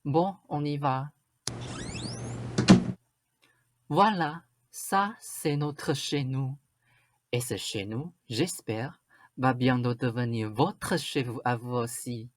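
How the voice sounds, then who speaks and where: A bossy, vain stepmother played by a woman in a radio play. She speaks slowly, with scolding insistence, as if nobody around her understands. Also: rumbling low, dry recording, exaggerated voice. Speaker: student actor. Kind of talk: radio drama acting.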